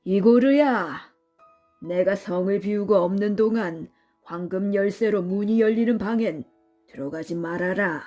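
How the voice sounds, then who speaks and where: A woman voicing a stern but loving king in a storybook, with slow, weighty, old-fashioned royal speech. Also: medium pitch, warm tone, faint music behind, natural voice. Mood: stern, grave.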